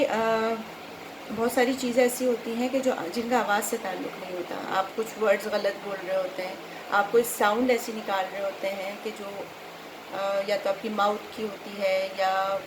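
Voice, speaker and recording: A middle-aged Pakistani woman chatting casually and instructively to viewers as a voice-over trainer, mixing in English words. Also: mid-range voice. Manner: conversational, instructive, code-mixes English words. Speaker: voice-over artist and trainer, host. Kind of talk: casual explanatory chat to viewers. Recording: clearly noisy background.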